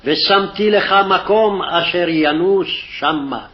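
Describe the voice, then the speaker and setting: A man's voice: sits high, fast talker. A man formally reciting scripture phrase by phrase, on a dull, lo-fi archival recording. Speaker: scripture reader. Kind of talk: scripted Hebrew Bible reading.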